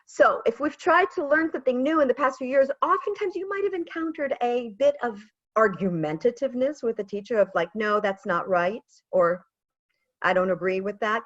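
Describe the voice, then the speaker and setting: A woman in her thirties with an American accent, coaching a webinar over a video call, chatty and animated with self-deprecating asides. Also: medium pitch, no echo. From Israel. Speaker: pitch coach. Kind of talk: webinar presentation. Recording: dull lo-fi video call audio.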